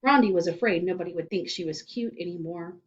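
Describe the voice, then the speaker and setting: A woman reading a children's storybook aloud in an expressive narrating style. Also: lowish voice, fast. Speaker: storybook reader. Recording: slightly dull, lo-fi sound.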